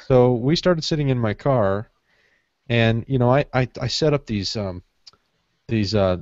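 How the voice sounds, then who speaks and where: A man's voice: medium pitch, measured pace, a little mellow. A man co-hosting a podcast, telling anecdotes in casual chat and acting out what people said. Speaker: podcast co-host. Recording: dull lo-fi audio, roomy echo.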